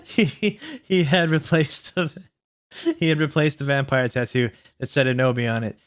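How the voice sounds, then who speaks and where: A man hosting a comedic dramatic read-aloud, stumbling over words and breaking into exasperated asides, sounding mentally worn out. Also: fairly high pitch. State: mentally worn out from long reading. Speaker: YouTube host reading fan fiction aloud.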